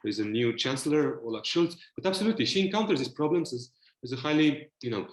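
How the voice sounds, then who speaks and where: A man with a Romanian accent speaks at a mid pitch, his voice ringing in an echoey room over a video call. He talks like a panelist working through his points, thoughtful and hesitant, full of fillers and restarts. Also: animated, quick pace. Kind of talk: virtual panel discussion.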